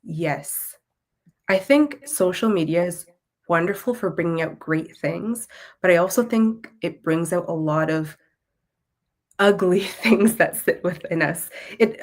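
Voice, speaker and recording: A woman with a Canadian accent speaking as an interview guest in a reflective, articulate, conversational way. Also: fairly low pitch. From Toronto, Canada. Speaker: guest, content creator and Tamil language teacher.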